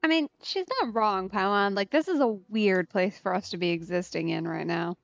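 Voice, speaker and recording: A woman's voice: mid-range voice, normal speed, gravelly, no echo, dull audio. A woman giving casual let's play commentary on a video game, with wry, conversational asides. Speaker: streamer playing a video game.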